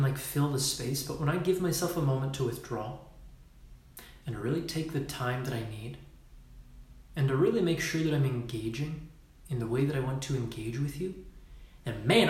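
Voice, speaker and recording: A man's voice: mid-range voice, roomy sound. Emphatic man teaching body posture. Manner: emphatic, swears casually, acts out postures. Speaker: workshop leader. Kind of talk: Facebook Live talk.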